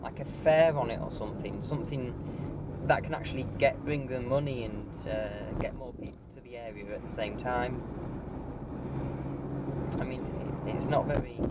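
A man's voice: medium pitch, normal speed. A man giving a casual, rambling driving tour from inside his car, with many ums and restarts. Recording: loud car engine and road noise.

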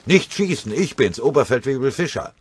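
A man's voice: medium pitch, lively intonation, moderate pace, a little hiss or hum, pitched above the performer's own voice, lightly acted. A man voicing an urgent army sergeant who barks commands in a war audiobook. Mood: urgent alarm. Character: shouting, breathless army sergeant barking orders in battle.

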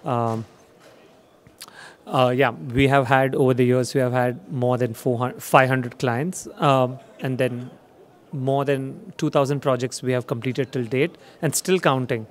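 Young guy pitching his company on stage at an expo, lots of uh and um, average pitch voice, filmed from far back in a dark room. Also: fairly even intonation, unhurried. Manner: hesitant, many fillers. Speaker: company presenter. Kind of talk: trade show sales pitch. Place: dark expo demo theater.

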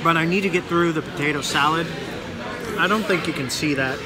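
A man's voice: on the high side, fairly quick, music in the background. A man in his thirties vlogging casually about his meal in a busy sports bar, talking between bites into a phone held at arm's length. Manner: rambling, talks between bites with mouth full. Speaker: food vlogger. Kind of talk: casual vlog commentary while eating.

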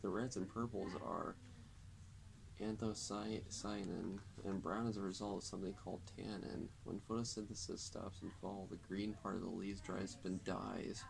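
Young male reader, low gravelly voice, deadpan delivery of a children's nature book. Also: normal speed, noisy background. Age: young adult. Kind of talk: reading a children's non-fiction book aloud. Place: indoors, public library, at a table.

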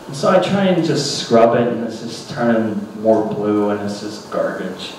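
A man in his thirties doing stand-up, telling a childhood story with animated, ranting bursts into a handheld mic on a small club stage. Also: fairly low pitch, moderate pace. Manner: animated, ranting bursts when acting out his younger self. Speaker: stand-up comedian. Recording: roomy club sound from a distant camera. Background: audience laughter and room noise.

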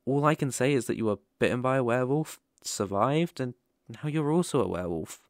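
A British man playing a gentle, protective werewolf mentor in an ASMR roleplay, speaking softly, tenderly and reassuringly. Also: medium pitch, expressive, dry recording, clean studio sound, natural voice. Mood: calm, explaining. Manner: soothing, unhurried, tender.

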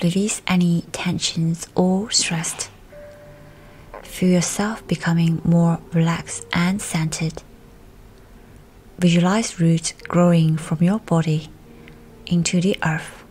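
Slow, low-pitched woman guiding meditation. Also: fairly even intonation, darkish tone. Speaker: guided meditation narrator. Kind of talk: scripted guided meditation. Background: music.

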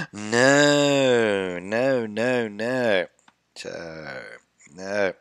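A middle-aged man talks casually into a headset mic while streaming a game, teasing his chat and joking about himself. His voice is low-pitched yet bright and forward, and the sound is close and completely dry. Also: very slow, smooth, dry recording. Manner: chatty, playful, reads chat aloud and teases viewers. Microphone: headset with desk mic.

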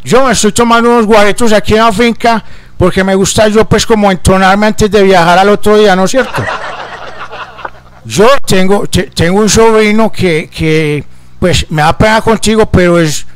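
A man's voice: sits high, steady tone, moderate pace, clear, resonant tone, no room sound, close to an everyday voice. A man playing a folksy, affectionate old Colombian countryman in his late seventies, hesitant and rambling, on a prank phone call. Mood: chatty, sly. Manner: hesitant, rambling, repeatedly endearing.

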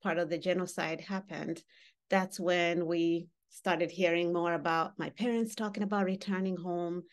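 A woman's voice: fairly low pitch, normal speed. A woman in her thirties, who grew up in Uganda, leading an online workshop on a video call and talking directly to the participants. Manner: animated, gestures while speaking, engages participants directly. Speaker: workshop facilitator. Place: home room with wall baskets. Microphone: webcam on a video call.

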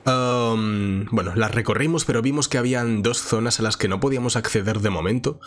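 A man's voice: medium pitch, brisk. A man with a nasal, congested voice and a sore throat from a cold, giving informal, chatty Let's Play commentary. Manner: informal, chatty, thinking aloud. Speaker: gaming channel host. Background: game music.

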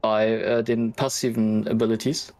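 A man's voice: fairly low pitch, level tone, moderate pace, a little mellow. A man playing a tabletop game, talking casually and hesitantly.

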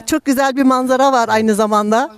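A woman's voice: high-pitched, steady tone, fairly quick. A woman giving casual commentary on location outdoors in a rural garden.

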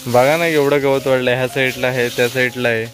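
A man's voice: mid-range voice, flat delivery, fairly quick, music in the background, low-quality recording. A young man vlogging outdoors on a hillside orchard, explaining each step to viewers on a handheld phone. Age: young adult. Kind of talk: casual outdoor vlog narration.

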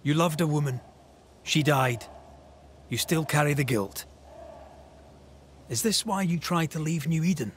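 A man playing a resolute, grave ghost hunter in a dramatic video game, measured and stern, with a gravelly voice. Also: fairly high pitch, a little hiss or hum, lightly acted.

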